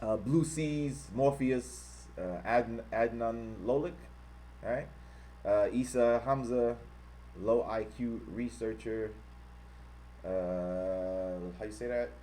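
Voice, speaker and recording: An American man hosting a live-streamed commentary, passionately hammering repeated rhetorical questions and pausing to thank viewers. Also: medium pitch, mellow, a little hiss or hum. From United States. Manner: impassioned, repetitive rhetorical questions. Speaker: online channel host.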